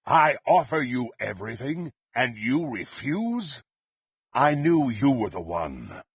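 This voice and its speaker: An actor playing a power-hungry, contemptuous dark warrior in a fantasy video game, with a gravelly, husky voice and haughty, theatrical, archaic phrasing. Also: male voice, medium pitch, big pitch swings, slow, no echo, clean studio sound, heavily acted. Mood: intense, imperious.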